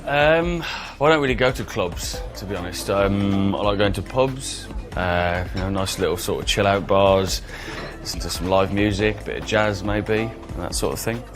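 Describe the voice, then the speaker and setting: A man's voice: lowish voice, normal speed, music in the background, close to an everyday voice. An actor as a polite young man. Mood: casual. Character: polite, easygoing young man chatting up women. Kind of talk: acted dialogue in a video game.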